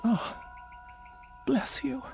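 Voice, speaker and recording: An actress playing a frail, anxious, insistent elderly woman in a radio drama, fretful and halting. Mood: grateful, subdued. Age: older. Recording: radio drama with music underneath.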